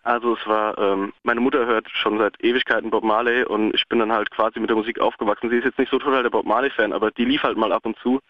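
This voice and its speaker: A man's voice: on the low side, steady tone, quick pace, few pauses, no echo, lo-fi sound. A male caller on a radio show, talking casually and hesitantly with slang. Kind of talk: phone-in chat.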